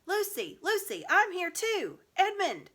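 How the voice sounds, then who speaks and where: A woman's voice: very high voice, wildly swooping pitch, measured pace, pitched above the performer's own voice, exaggerated voice. Woman voicing peevish schoolboy Edmund calling out. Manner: calls out loudly, grumbles sulkily. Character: Edmund, peevish young English schoolboy, shouting and muttering.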